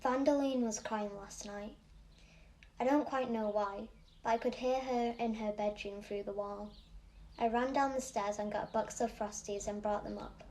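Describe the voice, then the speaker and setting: Soft, higher-voiced earnest girl played by a woman. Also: steady tone, moderate pace, natural voice. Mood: watchful, subdued. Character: earnest, bookish eight-year-old Yorkshire girl, watchful and literal. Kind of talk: audio play monologue.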